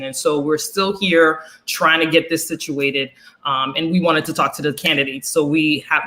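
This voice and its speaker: A woman's voice: low-pitched, fairly bright tone. A woman co-hosting a political talk show, chatty and friendly, reading a bio aloud with some stumbles. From Haiti. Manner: chatty, says "honey", reads bio haltingly. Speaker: co-host.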